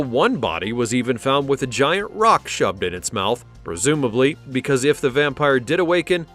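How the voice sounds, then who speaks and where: A man's voice: mid-range voice, fairly bright tone. A man reading a scripted countdown narration in a dramatic storytelling way, with wry conversational asides.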